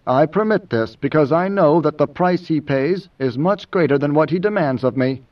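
Man playing a measured, commanding older majordomo, distant sound. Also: on the high side, animated, lo-fi sound, close to an everyday voice. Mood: cool, composed. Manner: measured, lecturing, cutting. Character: quietly commanding older male majordomo, smoldering, world-weary.

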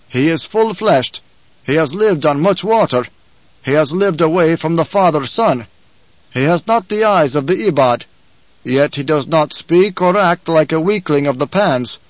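A man's voice: a little high, no echo, muffled recording. A man playing a commanding, stern desert chieftain. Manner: formal, measured, authoritative. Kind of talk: audiobook character acting.

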